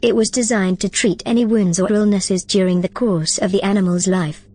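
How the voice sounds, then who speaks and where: A woman playing an AI companion, speaking calmly, precisely and reassuringly. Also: mid-range voice, moderate pace. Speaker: AI companion character. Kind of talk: audio drama acting.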